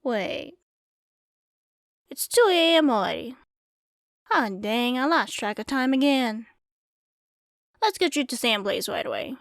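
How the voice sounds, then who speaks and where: An easygoing desert bartender and former singer, acted by a woman, speaks in a fairly high voice, close and dry on the mic. She is wry and self-deprecating, chatting one-to-one as in an ASMR roleplay. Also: lively intonation, a little slow, creaky, pauses often, no echo. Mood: hurried, friendly. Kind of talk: ASMR roleplay acting, intimate one-sided conversation.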